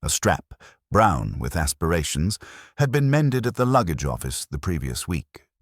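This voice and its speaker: A man with an extremely deep voice narrates literary detective fiction. His delivery is formal, dry and measured, yet the intonation moves widely. The sound is close, with no room on it. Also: sing-song, no room sound. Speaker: audiobook narrator.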